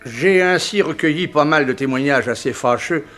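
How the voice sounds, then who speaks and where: An actor playing a shrewd, dry examining magistrate in a 1960s French radio drama, speaking formally and with authority. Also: male voice, fairly high pitch, normal speed, fairly bright tone, soft background music, natural voice. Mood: measured, reasoning.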